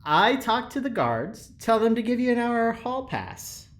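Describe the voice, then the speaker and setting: A man's voice: extremely high pitch, very animated, measured pace, full character voice. A man playing a friendly ship engineer who trades favors, cajoling and hesitant, in an audio drama. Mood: persuading. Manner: cajoling, hesitant, eager to please.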